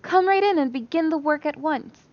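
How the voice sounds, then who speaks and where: A woman's voice: high voice, moderate pace, no echo, highs cut off, voice pushed up, natural voice. A woman voicing an eager, polite young peasant bride in a storybook reading. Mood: eager, relieved.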